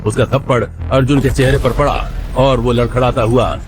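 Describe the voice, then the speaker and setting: A man's voice: mid-range voice, fairly even intonation, moderate pace. A man narrating a dramatic audio story in a scripted storytelling style. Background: background music.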